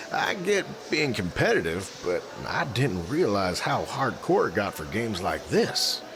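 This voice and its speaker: A man plays a friendly young athletic hero in an audio drama. His medium-pitched voice is slangy and casual with very wide swings, set in noisy ambience. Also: wildly swooping pitch, slow pace, full character voice. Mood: friendly, relaxed.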